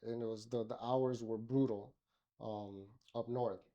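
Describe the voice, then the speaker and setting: A man's voice: medium pitch, steady tone, measured pace, warm tone, dull audio. A middle-aged man from Miami, a podcast guest, talking casually with many fillers and restarts. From Miami, Florida. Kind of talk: casual interview conversation.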